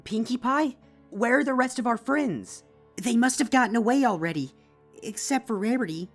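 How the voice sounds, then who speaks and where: Casting: a woman for an anxious young mare in a dark storybook tale, breathless, highly expressive. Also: normal speed. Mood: urgent panic. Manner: breathless, urgent. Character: frightened young female pony, urgent and protective. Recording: music behind.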